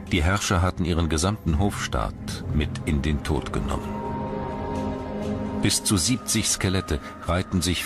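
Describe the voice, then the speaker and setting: Very deep-voiced male documentary narrator. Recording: music bed under the voice. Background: music.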